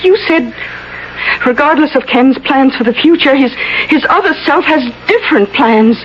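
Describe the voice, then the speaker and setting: A frightened wife speaks high and urgently, her pitch leaping about. She pleads with breathless old-radio melodrama. The recording is dull, with noticeable hiss. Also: animated, normal speed. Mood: anxious. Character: frightened, anxious wife of a professor. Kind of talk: vintage radio drama acting. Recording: dull, noisy vintage radio broadcast.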